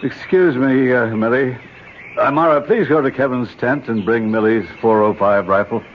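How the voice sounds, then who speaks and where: An actor in a radio drama playing a stern, condescending archaeologist uncle who speaks in a formal, lecturing way. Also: male voice, medium pitch, normal speed, natural voice. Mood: brisk, blunt. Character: stern, condescending older archaeologist uncle leading a jungle expedition. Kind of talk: 1970s American radio drama acting. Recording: muffled, noisy old radio broadcast.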